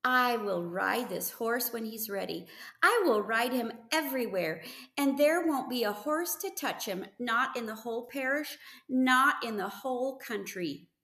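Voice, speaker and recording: An excitable, earnest farm boy of thirteen from a storybook, acted out by a woman reader. He talks eagerly and adoringly, with some creak in the voice, in an echoey room. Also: on the high side, a little slow, voice pushed up. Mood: thrilled.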